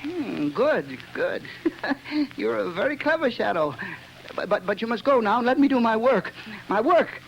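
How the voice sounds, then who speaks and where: A man's voice: extremely high pitch, big pitch swings, unhurried, vocal fry, lo-fi sound, heavily acted. A man playing an unhinged bomber who stammers, giggles and talks like a child, in old-time radio drama style. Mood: wheedling. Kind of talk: 1930s radio drama acting. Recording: old radio recording with noise.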